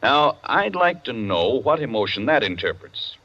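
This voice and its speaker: An actor playing a brisk, matter-of-fact clubman debating with his friends in a 1940s radio drama. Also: mid-range voice, big pitch swings, bright, forceful tone. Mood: challenging. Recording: dull, band-limited 1940s radio recording.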